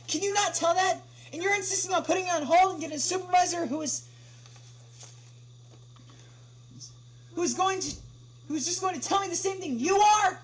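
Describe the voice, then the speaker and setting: Woman acting loud, irate male customer, high-pitched. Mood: indignant. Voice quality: loud, strained. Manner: indignant, repeating demands with rising insistence. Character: irate, screaming male customer on a support phone call. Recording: clearly noisy home livestream with hum.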